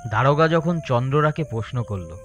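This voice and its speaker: A man's voice: mid-range voice, expressive, a little fast, fairly bright tone, dull audio. A man narrating a scripted story as a storyteller.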